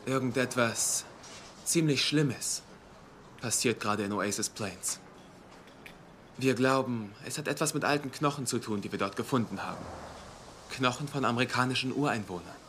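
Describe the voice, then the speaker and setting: An actor playing an earnest, polite young male investigator in a dubbed TV drama, serious and measured. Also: mid-range voice, a bit bright, slight background noise, lightly acted. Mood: grave.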